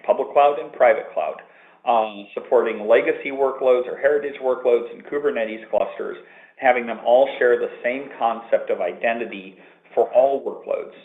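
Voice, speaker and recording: A man's voice: mid-range voice, normal speed. A man presenting a technical webinar from an office, explaining at length with fillers and restarts. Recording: tinny phone-line audio with room echo.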